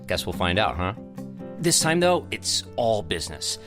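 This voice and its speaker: A young would-be director in an audio drama, cocky and earnest. He talks conversationally in a lowish voice with animated swings, music playing behind him. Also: moderate pace. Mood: confiding, eager. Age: young adult. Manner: earnest, self-assured, conversational. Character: eager, cocky young male aspiring theater director. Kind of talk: audio drama acting with confiding narration.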